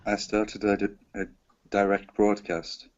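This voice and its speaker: Low-voiced laconic man, muffled, babble behind. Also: level tone, slow. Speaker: composer, panel guest. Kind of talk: casual chat. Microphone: video call. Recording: muffled lo-fi video call with crowd-like babble.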